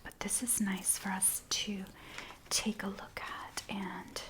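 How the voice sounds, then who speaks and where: Whispering woman in slow, gentle ASMR roleplay. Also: medium pitch, flat delivery, low-quality recording. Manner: gentle, attentive, unhurried, speaking directly to the listener as a client. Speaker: ASMR performer playing a biographer in a roleplay.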